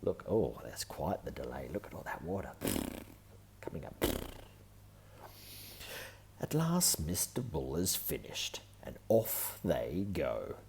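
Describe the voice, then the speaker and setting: A man reading a children's picture book aloud, with gentle, often whispered asides. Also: a bass voice, big pitch swings, slow, vocal fry, pauses often, noisy background.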